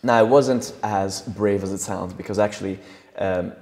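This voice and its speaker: A young man with a low gravelly voice. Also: steady tone, moderate pace, darkish tone, big-room sound. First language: Italian. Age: young adult. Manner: thoughtful, gestures while talking, frequent restarts. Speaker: guest coach and trainer. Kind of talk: relaxed interview talk. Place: studio set with leather sofas and brick wall. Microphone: lapel mic.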